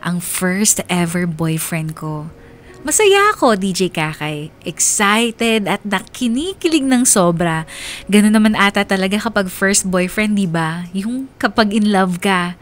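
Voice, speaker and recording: A woman performs a young woman narrating her own love story for a radio drama. Her mid-pitched voice is confiding and wistful, with a Filipino accent. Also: animated, a little slow, close to an everyday voice. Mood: happy. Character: young woman letter-sender recounting her first love.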